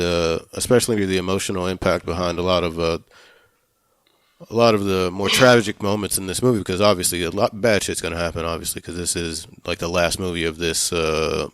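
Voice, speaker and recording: Very low-pitched man, halting film talk. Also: clean tone, dry recording, clean studio sound. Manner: halting, searching for words, casual swearing. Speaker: film podcast host. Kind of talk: casual film review discussion.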